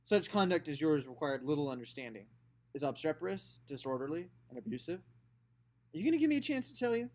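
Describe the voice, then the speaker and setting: A man voicing a firm, middle-aged uncle who scolds in measured tones. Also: on the high side, sing-song, darkish tone. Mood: stern.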